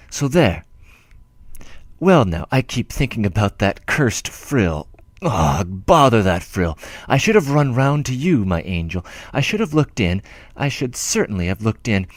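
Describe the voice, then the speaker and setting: A man's voice: medium pitch, no echo. Man reading as a shy fussy old clerk, rambles and trails off, voice all over the place, dry close mic. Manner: rambling, self-interrupting, tender. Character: humble, timid older male clerk, doting and fretful. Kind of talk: audiobook letter reading.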